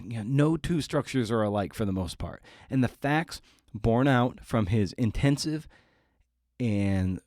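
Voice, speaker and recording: A man's voice: mid-range voice, a little mellow, no room sound, studio quality. A man hosting a podcast, delivering an informal intro monologue with emphatic phrasing and playful asides. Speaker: podcast host.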